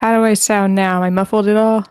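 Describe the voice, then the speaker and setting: Woman, casual online table-read host, mellow tone, very dry close recording. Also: mid-range voice, level tone, quick pace, clear, resonant tone, no echo. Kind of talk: casual livestream hosting. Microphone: close mic with a sock over it, online voice call.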